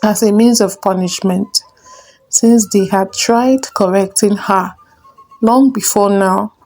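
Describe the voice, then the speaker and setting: A woman narrating a folktale in a measured, simple storybook manner. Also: mid-range voice, fairly even intonation, a little mellow, faint music behind, rough recording.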